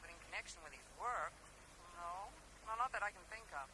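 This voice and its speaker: A woman's voice: fairly low pitch, expressive, fairly quick, noisy background. An actress playing a helpful, matter-of-fact woman on the telephone in a radio drama. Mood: helpful, thoughtful. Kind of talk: 1980s radio drama acting.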